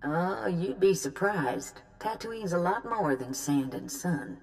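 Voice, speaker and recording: An actress playing a brisk, businesslike mission handler giving a briefing in a video game. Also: female voice, on the low side, expressive, a little mellow, poor audio. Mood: businesslike, knowing. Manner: businesslike, instructive.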